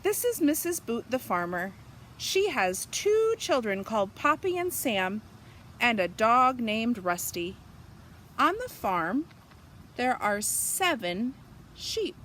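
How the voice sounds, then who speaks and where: A woman reading a storybook aloud for young children, pausing to explain and ask them simple questions. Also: fairly high pitch, a bit bright, a little hiss or hum.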